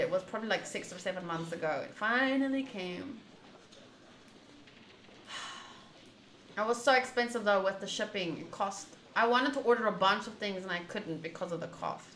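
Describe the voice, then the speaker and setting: A woman's voice: mid-range voice, moderate pace, pushed and bright, roomy sound, slight background noise. A woman streamer giving casual, chatty playthrough commentary, reading out lines and adding playful asides. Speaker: game streamer.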